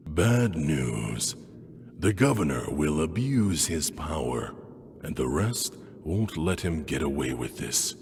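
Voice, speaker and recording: An actor playing a grim, cynical bearer of bad news in a game cutscene, with a gravelly voice and a pessimistic manner. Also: male voice, rumbling low, sing-song, darkish tone, exaggerated voice. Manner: slow, pessimistic. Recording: long reverb with faint noise.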